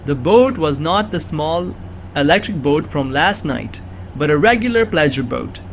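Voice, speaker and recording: A man's voice: a little high, expressive, a little slow, a little hiss or hum. A young man giving earnest, scripted first-person narration of a suspense story. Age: young adult.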